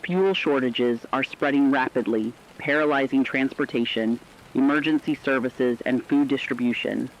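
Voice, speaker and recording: A man playing a serious radio crisis reporter, reading scripted emergency news in a formal, measured manner. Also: mid-range voice, lightly acted. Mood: grave, sober. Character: sober radio crisis reporter in fictional war broadcast. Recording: dull radio sound with rain noise.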